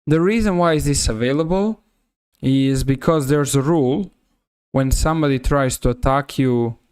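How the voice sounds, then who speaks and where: A man with a Serbian accent giving live chess commentary on a stream, halting and often rephrasing himself. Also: mid-range voice, a little mellow, clear, resonant tone, no echo.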